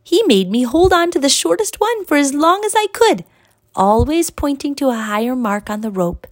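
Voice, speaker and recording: An eager young girl played by a woman narrator in a children's story. The voice sits high, well above an ordinary adult register, and sounds earnest and childlike. The recording is dry. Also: no room sound, exaggerated voice.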